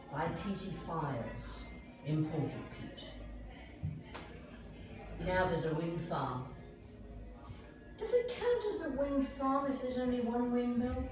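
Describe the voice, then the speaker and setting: An older woman giving a live spoken-word performance, speaking her own material with casual asides. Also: mid-range voice. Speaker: singer-poet performing own work. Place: live performance venue, indoors. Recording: echoey room from far off, guitar music.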